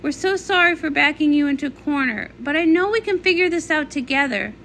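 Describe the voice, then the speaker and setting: Woman as young cartoon pony mare, high voice, gentle, earnest, friendly, animated. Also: fairly quick, slight background noise. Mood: pleading. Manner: earnest, conciliatory. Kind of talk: comic read-along character voice.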